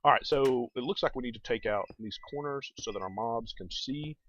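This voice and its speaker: A man's voice: medium pitch, normal speed, fairly bright tone. A man giving casual gameplay commentary as a let's play host, thinking aloud and talking to viewers. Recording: dull, noisy home recording.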